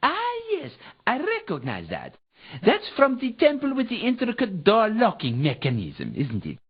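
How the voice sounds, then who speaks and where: A woman's voice: a little high, wildly swooping pitch, normal speed, slightly rough, exaggerated voice. A woman voicing a mild, absent-minded inventor in a video game, with a hesitant, fussy, scholarly manner. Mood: hesitant, musing. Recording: dull, lo-fi game audio.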